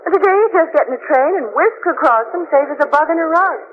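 An actress playing a chatty, gently teasing travel companion in 1940s radio style. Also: female voice, very high voice, fast. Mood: playful. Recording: dull, noisy old radio recording.

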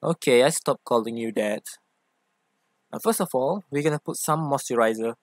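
A playful young femboy boyfriend acted by a man in an ASMR roleplay, in a dry, close recording. He is affectionate and theatrical, teasing and coaxing his partner in a medium-pitched voice. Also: normal speed, dry recording, lightly acted. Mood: tender, teasing.

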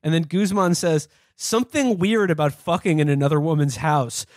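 A man's voice: fairly high pitch, normal speed, dry recording, clean studio sound. A man hosting a podcast, talking casually and comedically as he reads material aloud. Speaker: podcast host.

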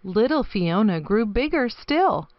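A woman reading a children's picture book aloud expressively, with deliberate, dramatic phrasing. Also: on the high side, big pitch swings, dry recording, dull audio, faint music behind. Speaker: storybook reader.

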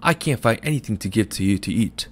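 A man voicing a sharp-tongued poor village wife who scolds her husband curtly. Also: on the low side, animated, quick pace. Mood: scolding. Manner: scolding, curt.

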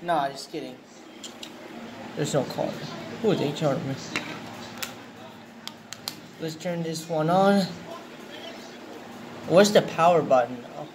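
A man with a fairly high voice talks through an unboxing, excited and thinking aloud as he goes. He films on a handheld phone in a tiled indoor room. Steady room noise sits clearly under his voice. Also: slow, long pauses. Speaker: unboxing video host. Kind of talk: casual unboxing narration. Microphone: handheld phone camera. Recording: noisy room ambience.

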